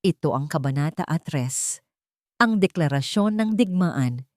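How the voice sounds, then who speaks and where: A woman's voice: low-pitched, expressive, unhurried, a little mellow, no echo, studio quality. A woman reading a scripted story as a dramatic narrator.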